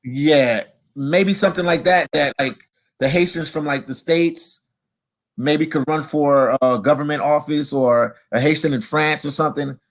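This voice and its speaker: A man's voice: fairly high pitch, unhurried. A man hosting a casual live stream, reading viewer comments aloud and chatting.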